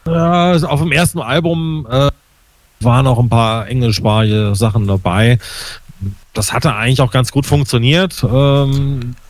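A man in his fifties from Germany, a musician answering interview questions casually and haltingly, searching for words. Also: mid-range voice, fairly even intonation, no echo. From Hesse, Germany. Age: 50s. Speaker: musician and songwriter being interviewed.

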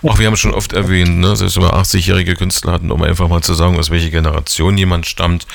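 Radio host, man in his forties, very low gravelly voice, dry close sound, chatty and teasing. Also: level tone, fast talker, no echo. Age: 40s. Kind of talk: casual radio interview.

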